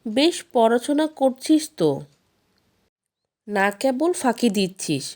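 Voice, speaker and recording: A woman's voice: fairly high pitch, lively intonation, slow pace, a little mellow. A woman voicing a watchful, teasing uncle who questions and coaxes children in a storybook tale. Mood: slyly stern.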